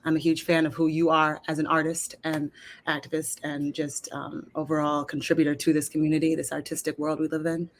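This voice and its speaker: A woman's voice: low voice, level tone, fairly quick, slight background noise. A woman actor interviewing a guest in a casual, appreciative conversational style.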